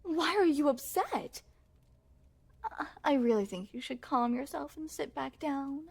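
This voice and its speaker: Yandere princess, female performer, ASMR roleplay: high and unhurried, regal diction, coy sweetness with possessive menace. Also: animated, gravelly, a little mellow, full character voice. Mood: sweetly menacing. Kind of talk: ASMR roleplay, scripted monologue to the listener.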